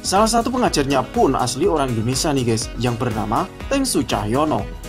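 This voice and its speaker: Lively male narrator over loud music. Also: medium pitch, fairly quick. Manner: casual, addresses viewers as guys. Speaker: video narrator. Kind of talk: scripted narration.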